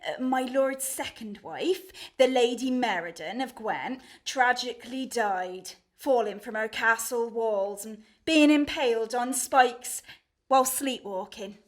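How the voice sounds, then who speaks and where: An actress playing an excitable, loyal young maidservant in a comic period radio play, deferential but bluntly cheeky. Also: female voice, on the high side, a little slow, natural voice. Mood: awkward, explaining.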